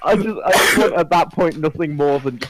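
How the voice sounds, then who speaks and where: A man's voice: on the high side, fairly quick, very noisy. A man talking casually and informally as a panellist in a podcast discussion, given to banter.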